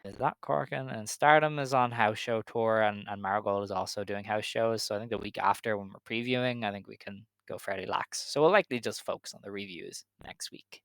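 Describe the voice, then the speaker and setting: A man's voice: fairly low pitch, steady tone, brisk, few pauses, fairly bright tone, no echo. A man co-hosting a casual podcast, talking things through with lots of "I think" and "um". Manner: chatty, hedging with "I think" and "um". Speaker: podcast co-host. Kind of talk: casual wrestling podcast chat.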